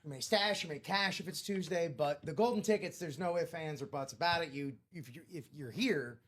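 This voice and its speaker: Playful co-host with rhythmic riffs. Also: male voice, on the high side, fairly quick, slight background noise. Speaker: co-host and producer. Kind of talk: casual live-stream banter.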